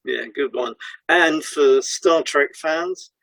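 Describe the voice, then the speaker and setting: A man's voice: on the high side, slow pace, vocal fry, a bit bright, no room sound, rough recording. A man co-hosting a scripted podcast, explaining ideas for therapists. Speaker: podcast co-host and hypnotherapist.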